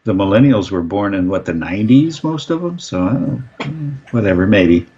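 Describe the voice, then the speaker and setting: A man's voice: lowish voice, animated, fairly quick, mellow. A middle-aged man co-hosting a casual political chat, rambling and restarting his sentences with a chuckle here and there. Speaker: podcast co-host. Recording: slightly dull lo-fi recording.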